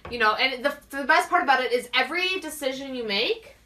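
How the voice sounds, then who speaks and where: A woman's voice: high voice, lively intonation, brisk, sharp tone. A woman chatting casually about games and films as a talk show co-host, with an enthusiastic, gushing way of talking.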